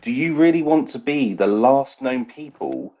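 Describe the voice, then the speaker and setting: A man's voice: mid-range voice, animated, moderate pace, warm tone, lo-fi sound, close to an everyday voice. A man playing an earnest, slightly pompous would-be knight in a tabletop game, pleading his case. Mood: insistent.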